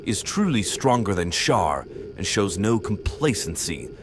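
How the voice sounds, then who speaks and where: A man voicing a ruthless rebel commander who talks in a measured, commanding way, in a fan audio drama. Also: on the low side, sing-song, background noise. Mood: resolute. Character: ruthless male rebel commander, cold, driven. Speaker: rebel leader.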